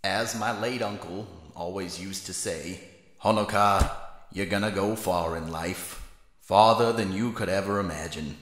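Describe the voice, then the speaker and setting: Man playing a deep-voiced, foul-mouthed schoolgirl boss. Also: measured pace, fairly bright tone, reverberant, slight background noise. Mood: boastful. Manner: swaggering, crude, drawling emphasis. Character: brash, foul-mouthed, domineering anime schoolgirl council president. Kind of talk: comedic parody anime dub.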